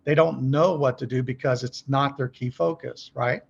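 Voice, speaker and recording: Gravelly, husky middle-aged businessman explaining. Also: medium pitch, fairly even intonation, normal speed, highs cut off. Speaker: podcast guest, company executive.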